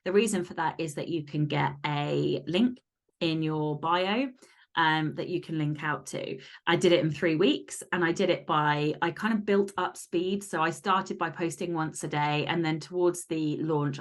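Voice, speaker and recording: Female podcast guest, slightly low register, frank and sweary, speaking in an informal conversational style. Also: fairly even intonation, normal speed. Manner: blunt, sweary, candid. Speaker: podcast guest and fantasy romance author. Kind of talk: casual interview chat.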